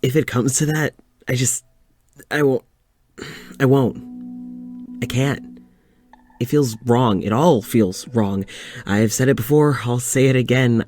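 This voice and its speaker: A man playing an uneasy, weary scientist in an audio drama, confiding to a recorder in a halting way. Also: mid-range voice, darkish tone. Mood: distressed. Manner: hesitant, halting, sighing. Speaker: fiction podcast lead actor. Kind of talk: audio drama, confessional monologue.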